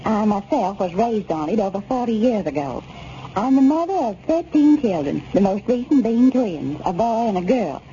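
A woman's voice: medium pitch, animated, normal speed, mellow. A woman reading a scripted testimonial letter for a 1930s radio advertisement. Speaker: letter reader. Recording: muffled, noisy 1930s radio recording.

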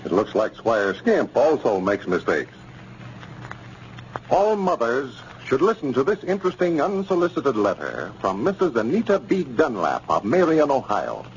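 A man's voice: mid-range voice, wildly swooping pitch, normal speed. A man announcing and reading a scripted advertisement as a 1930s radio announcer. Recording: noisy muffled 1930s radio recording.

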